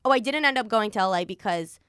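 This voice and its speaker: A young American woman telling a personal story in a casual, animated, rambling way, acting out bits of dialogue. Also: mid-range voice, fast talker, clean tone, no echo, clean studio sound. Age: young adult. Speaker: podcast host. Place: podcast studio.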